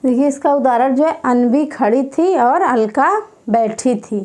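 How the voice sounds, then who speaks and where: A woman teacher giving an exam-prep grammar lesson, dictating slowly and repeating each term several times. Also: high voice, mellow.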